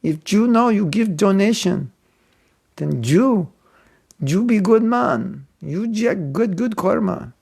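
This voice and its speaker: A man's voice: sits high, expressive, slow, vocal fry, a little mellow, slightly rough, voice pushed up, exaggerated voice. Man doing a pushy monk with a Spanish accent. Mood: wheedling. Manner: wheedling, insistent, broken English.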